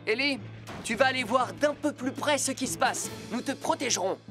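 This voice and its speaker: A woman voicing a calm, caring young rescue police car leader in a French children's cartoon dub, giving instructions reassuringly. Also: high voice, moderate pace. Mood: commanding. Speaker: voice actor. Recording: loud music behind the voice.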